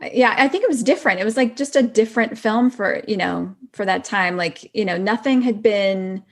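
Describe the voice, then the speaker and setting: Female guest, middle-aged, mid-pitched voice, loose informal chat, agreeable and effusive. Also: a little fast. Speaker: podcast guest, actress. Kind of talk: casual chat.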